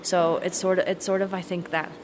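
A woman's voice: lowish voice, level tone, fairly quick, noisy background. A woman working as a recruitment director, explaining her program thoughtfully in an interview and restarting as she searches for words.

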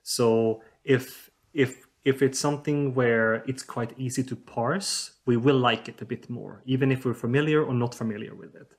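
Linguist guy from south sweden explaining stuff in an interview, lots of uhs, mid pitch. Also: steady tone, normal speed, few pauses. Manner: thoughtful, hesitant with many fillers. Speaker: linguist guest.

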